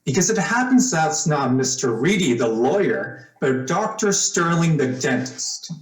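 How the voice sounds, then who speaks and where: Radio drama male actor: distrustful young man, accusing, slightly high pitch, echoey recording. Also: very animated, a little slow, soft background music. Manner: accusing, challenging. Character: suspicious, needling young man, watchful. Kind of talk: old-time radio drama acting. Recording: echoey room.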